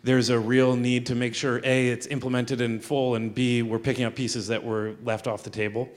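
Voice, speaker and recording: A man's voice: mid-range voice, little pitch movement, normal speed. A man in a state legislator's role answering at a panel, earnest, with many ums and restarts.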